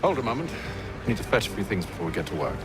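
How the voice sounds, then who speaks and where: A male actor playing a composed, guarded gentleman mentor in a video game, formal, clipped and authoritative. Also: on the low side, big pitch swings, rapid-fire, over music. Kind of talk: video game cutscene acting.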